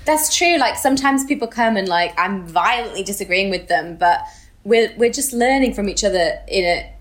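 A woman's voice: mid-range voice, expressive, moderate pace. A British woman co-hosting a podcast in a casual, chatty and informal way, with a giggly streak. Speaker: podcast co-host.

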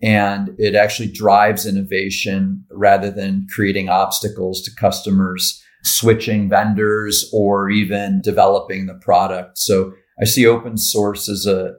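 Deep, even-toned corporate man. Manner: measured, corporate, lists terms. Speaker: guest, marketing director. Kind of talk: podcast interview answers.

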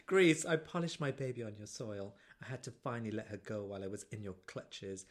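A woman's voice: extremely low pitch, fairly quick. A woman co-hosting a podcast in casual comic chat, giggly, exclamatory and playfully dramatic. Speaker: podcast co-host.

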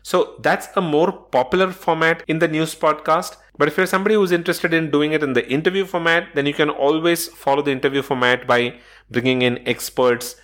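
A man's voice: medium pitch, a bit bright. A man hosting a solo podcast episode, explaining points in a methodical, instructional way from loose notes. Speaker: podcast host.

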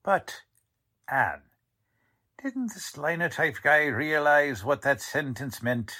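An actor playing a blunt, slangy, wisecracking police lieutenant in a 1940s style radio drama. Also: male voice, on the high side, animated, slow pace, bright, forceful tone, heavily acted. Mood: skeptical.

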